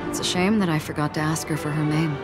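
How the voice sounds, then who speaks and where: A woman's voice: low voice, fairly even intonation, fast talker, over loud music, close to an everyday voice. A woman playing a courteous, composed and mysterious lady with formal phrasing in a video game. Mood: wistful. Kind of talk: video game dialogue acting.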